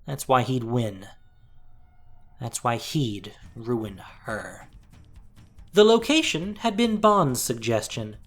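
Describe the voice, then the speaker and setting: A woman narrating fantasy fiction in a deliberate, theatrical and intense way. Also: very deep voice, lively intonation, soft background music.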